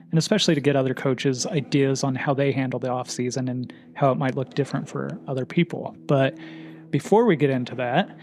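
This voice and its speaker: A man's voice: mid-range voice, darkish tone, slight background noise. A man hosting a cycling podcast, introducing his guest and asking loosely phrased questions. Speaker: podcast host, cycling coach. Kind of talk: conversational podcast interview.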